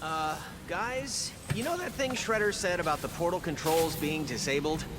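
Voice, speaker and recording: A man's voice: fairly high pitch, lively intonation, normal speed, close to an everyday voice. A man voicing a brainy, earnest and fretful teenage mutant turtle techie in a video game. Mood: worried. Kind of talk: video game cutscene acting. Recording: loud music behind the voice.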